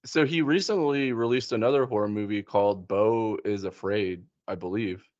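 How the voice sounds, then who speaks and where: A man's voice: fairly low pitch, normal speed, darkish tone, no echo, lo-fi sound. A man in casual film chat, musing tentatively and rephrasing as he goes. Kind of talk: casual chat about films.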